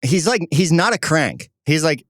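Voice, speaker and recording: A man's voice: fairly high pitch, lively intonation, normal speed, no room sound. Young man chatting casually, close dry sound. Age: young adult. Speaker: podcast co-host. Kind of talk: casual conversational podcast chat.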